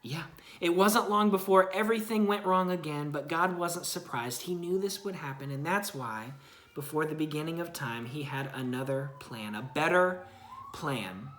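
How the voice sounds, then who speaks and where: A woman's voice: sits low, normal speed, fairly bright tone, echoey room. A woman reading a children's Bible story aloud expressively, chatting with a young child and asking simple questions.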